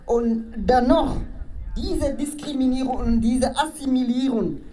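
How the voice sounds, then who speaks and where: A woman from Africa giving an impassioned rally speech in halting, non-native German. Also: high-pitched, mellow. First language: not German. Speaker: speaker at a climate demonstration. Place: outdoors at a demonstration. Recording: outdoor rally with faint crowd.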